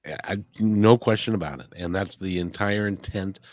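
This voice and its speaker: A man's voice: deep voice, moderate pace, no echo. A man hosting an interview, speaking in an earnest, firm and reassuring way. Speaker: interview host. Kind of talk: casual interview chat.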